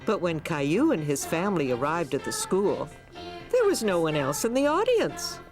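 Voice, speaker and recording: A woman narrating a children's cartoon as a gentle storyteller, with music behind her. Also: lowish voice, big pitch swings, moderate pace. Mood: storytelling. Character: gentle female storyteller narrating a small boy's day.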